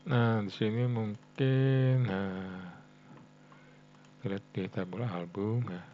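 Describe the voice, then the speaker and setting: An Indonesian man giving a casual coding tutorial, thinking aloud in a halting way and often tagging phrases for his viewers. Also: lowish voice, fairly even intonation. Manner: halting, frequent "ya" tags addressing viewers as friends. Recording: dull lo-fi mic with faint hum.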